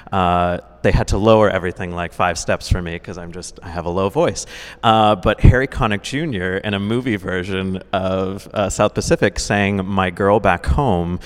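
A man's voice: low voice, smooth. A man in his twenties, a musical theater teacher, talking easily as a guest with many "uh" fillers. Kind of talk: casual interview chat. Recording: reverberant hall.

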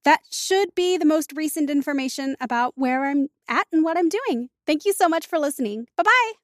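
Female guest actor, podcast outro, high bright-pitched voice, very dry recording. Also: animated, normal speed, dry recording. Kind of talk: podcast sign-off, polite self-introduction.

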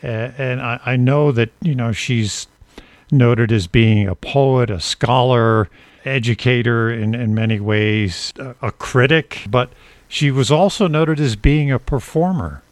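Slow-paced man hosting an interview. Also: mid-range voice, no echo. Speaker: interview host. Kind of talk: measured interview questioning.

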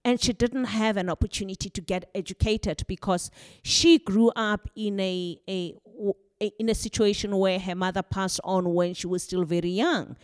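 A woman's voice: mid-range voice, steady tone, normal speed, hardly any pauses, smooth, dry recording, clean studio sound. A woman answering an interview question in an earnest, reflective way. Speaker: interview guest.

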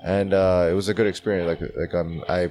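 A man in his twenties, born in Canada and raised in Czech Republic, speaks as a boxer guest in relaxed, laid-back chat full of "you know.". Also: deep voice, fast talker. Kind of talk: casual interview chat.

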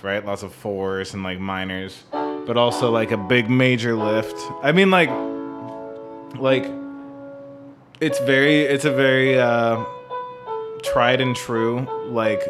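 A man co-hosting a music podcast, talking casually and analytically in a halting, rambling way with some swearing. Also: medium pitch.

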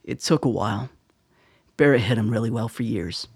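An actor playing a confident military intelligence officer in a radio drama, gravelly and husky, with a terse, matter-of-fact delivery. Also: male voice, mid-range voice, moderate pace, no echo, clean studio sound.

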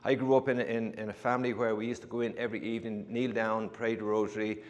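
A man's voice: lowish voice, level tone, quick pace. Irish man in his fifties, echoing church. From Ireland. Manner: chatty storytelling with humorous asides and frequent restarts. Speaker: guest giving a testimony. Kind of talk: personal testimony told to a congregation. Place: church sanctuary. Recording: church hall reverb.

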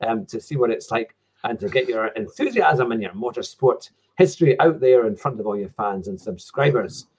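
A man's voice: medium pitch, very animated, moderate pace, darkish tone, slightly rough, poor audio. A man hosting a casual podcast, talking in a rambling way with lots of fillers. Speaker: podcast host. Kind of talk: casual chat.

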